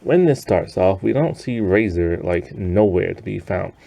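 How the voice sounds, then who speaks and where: A man giving a casual, rambling spoken review of an animated series in a conversational, easily amused way. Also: low voice, a little slow, vocal fry.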